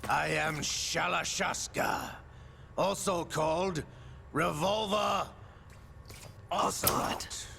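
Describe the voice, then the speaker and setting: An actor playing a sly, theatrical older male villain in a video game, gravelly and sardonic. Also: a little high, steady tone, slow, long pauses, noisy background, exaggerated voice. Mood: boastful. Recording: music behind the dialogue.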